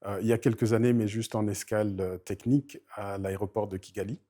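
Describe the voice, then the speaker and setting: A middle-aged man from Rwanda introducing himself, slowly and formally, with many hesitations. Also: on the low side, steady tone, mellow. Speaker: family member introducing himself. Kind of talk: halting spoken self-introduction.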